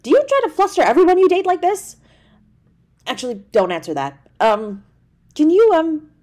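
A woman's voice: on the high side, a little mellow. Woman acting a flustered, tender young girlfriend. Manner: hesitant, stammering, halting delivery. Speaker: audio roleplay voice actor. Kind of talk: intimate girlfriend audio roleplay acting.

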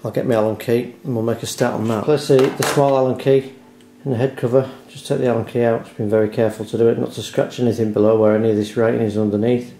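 Mellow man giving a how-to, roomy sound. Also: mid-range voice, little pitch movement, fast talker, vocal fry. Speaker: repair tutorial presenter. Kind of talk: step-by-step hands-on how-to narration. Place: indoors at a dining table in a home. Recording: roomy echo, background hiss, handling clicks.

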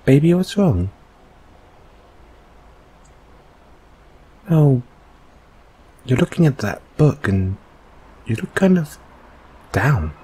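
A man playing a gentle, reassuring boyfriend in an intimate comfort audio roleplay, speaking softly and hesitantly. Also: medium pitch, big pitch swings, breathy edge, exaggerated voice. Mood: concerned. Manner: soft, hesitant, soothing, unhurried.

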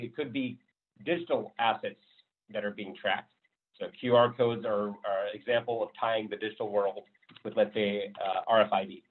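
Man, rambling meetup presenter, lots of fillers, narrow tinny call sound. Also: fairly low pitch. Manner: loose, rambling, heavy on fillers. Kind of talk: casual technical presentation. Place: home room on a video call. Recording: thin phone-like call audio.

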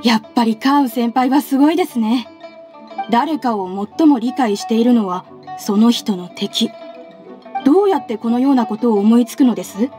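A woman's voice: fairly high pitch, normal speed, warm tone, faint music behind, close to an everyday voice. A woman voicing an earnest, respectful young civil servant in an anime-style Japanese game, polite and sincere. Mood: admiring.

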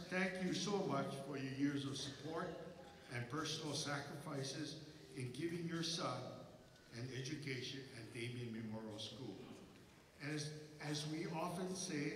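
Older guy from hawaii giving a graduation speech at a lectern, slow careful phrases, big echoey concert hall, sounds a bit far off. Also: mid-range voice, a bit bright, slight background noise. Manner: measured, read from a lectern, phrase by phrase. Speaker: school president. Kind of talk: formal commencement address. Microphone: lectern mic.

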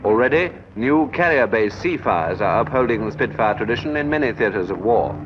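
A man reading scripted narration for a 1940s military training film in a measured, instructive way. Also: medium pitch, animated. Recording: dull noisy 1940s film soundtrack.

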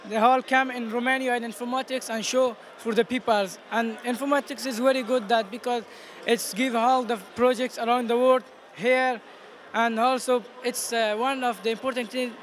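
Very high-pitched young Afghan man, halting English. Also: fairly even intonation. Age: teens. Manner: halting non-native English. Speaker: student competition participant. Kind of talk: explaining a project in an interview. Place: busy exhibition booth indoors. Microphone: handheld interviewer microphone. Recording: noisy event hall background.

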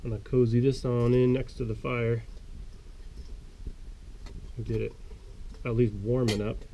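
A man's voice: mid-range voice, little pitch movement, unhurried, mellow. An American man in his thirties talking casually and easygoingly to camera, explaining what he is doing as he works, with some hesitation. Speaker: outdoor vlogger, presenter. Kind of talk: casual explanatory talk to camera while working. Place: outdoors in a small desert rock cave. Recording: outdoor camera audio with faint background noise. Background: faint outdoor ambience.

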